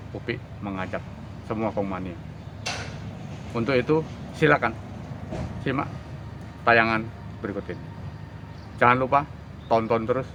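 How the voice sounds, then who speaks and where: A man with an Indonesian accent hosting a bird-breeding channel, presenting in an energetic, emphatic announcer style. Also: fairly low pitch, highs cut off, noisy background. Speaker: host.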